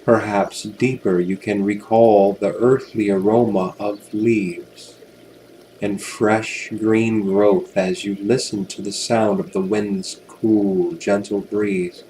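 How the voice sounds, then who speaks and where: Slow, soothing male hypnosis narrator. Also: fairly low pitch, steady tone, slight background noise, rough recording. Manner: soothing, unhurried, gentle delivery with long pauses. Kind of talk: guided hypnosis relaxation narration.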